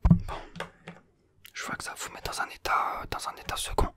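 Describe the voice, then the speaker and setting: A young adult whispering throughout an ASMR roleplay as a playful, teasing examiner who gently reassures the listener. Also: fast talker. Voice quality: soft unvoiced whisper.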